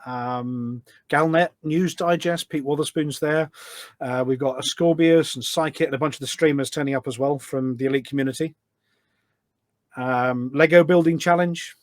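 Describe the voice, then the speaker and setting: A British man talks casually as a guest on a podcast. His voice sits a little high and is recorded very dry, with no room echo. He is informal and chatty, with a self-deprecating streak. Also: normal speed. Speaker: podcast guest, community event organiser. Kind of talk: casual chat. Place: office.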